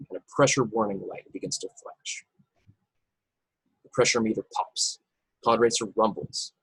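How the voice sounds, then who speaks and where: A man narrates stage directions for an action-packed script in a husky, fairly low voice. The sound is close and dry, as he reads the scenes out at a remote table read. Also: no echo, rough recording. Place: indoors, remote video call table read.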